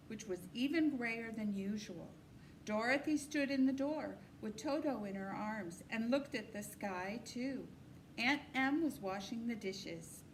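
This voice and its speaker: High-voiced woman reading a story. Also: a little hiss or hum, rough recording. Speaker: storybook reader. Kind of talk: reading a children's novel aloud.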